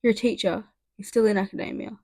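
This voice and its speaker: Woman reading as a brisk, authoritative middle-aged official. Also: medium pitch, fairly even intonation, a little mellow, no room sound, studio quality, lightly acted. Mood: probing. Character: authoritative mid-forties European businesswoman, brisk task force official.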